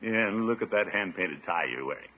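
Blustery pompous middle-aged uncle, swoopy husky voice, old radio comedy. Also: male voice, deep voice, expressive, fairly quick. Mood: preachy. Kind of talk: 1950s radio sitcom acting. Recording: muffled 1950s radio broadcast.

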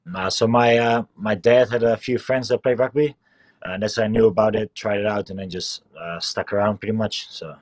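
A man's voice: fairly low pitch, steady tone, normal speed, no room sound. A young Dutch man whose accent mixes Dutch with New Zealand English, answering in a laid-back, hesitant way with lots of "um" and "like". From Netherlands. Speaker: rugby player guest. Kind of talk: casual interview answers.

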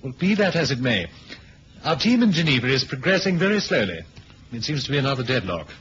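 Headmasterly British intelligence chief, animated and fussy, radio drama briefing, slightly hissy recording. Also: male voice, mid-range voice, fairly quick. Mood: exasperated. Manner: clipped, officious, headmasterly. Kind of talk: 1970s radio drama acting. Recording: dull lo-fi old radio recording, hiss.

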